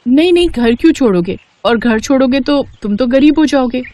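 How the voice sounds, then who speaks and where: A woman's voice: high voice, animated, brisk, dark tone. A woman as a bold ambitious young woman. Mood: earnest, determined. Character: determined, ambitious young woman from a poor family.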